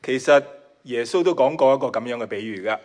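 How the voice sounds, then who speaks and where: Hong Kong-accented male preacher, native Cantonese speaker, modest and giggly, pauses often for his interpreter. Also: mid-range voice. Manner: modest, with shy laughs between phrases. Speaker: guest preacher. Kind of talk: sermon delivered in short chunks for an interpreter.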